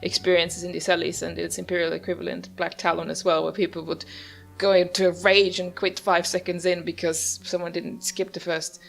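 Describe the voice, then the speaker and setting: Casting: female gaming host, low creaky voice, musing casual commentary over game music. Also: flat delivery, a little fast.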